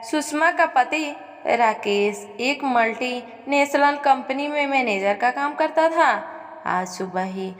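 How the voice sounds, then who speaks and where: A woman's voice: high-pitched, lively intonation, slow pace. A woman narrating a dramatic scripted story in a melodramatic manner, with long reverb on her voice. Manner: melodramatic, reads speaker names before dialogue.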